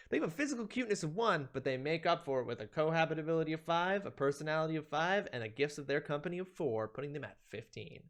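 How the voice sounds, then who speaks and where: A man hosting a stream, giving a playful, theatrical reading of a comedic ranked list with emphatic delivery. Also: on the high side. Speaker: streamer, host.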